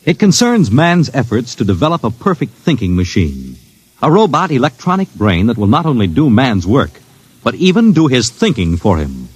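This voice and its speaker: A man's voice: medium pitch, wildly swooping pitch, moderate pace, breathy edge. A man announcing a 1950s radio drama in a grand, theatrical manner.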